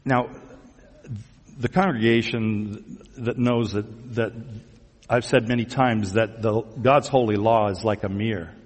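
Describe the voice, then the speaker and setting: A man's voice: lowish voice, fairly even intonation, measured pace, a little hiss or hum. A man speaking as a pastor in a conversational sermon, teasingly putting questions to the congregation and repeating phrases back. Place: large church room before a congregation. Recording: reverberant hall sound.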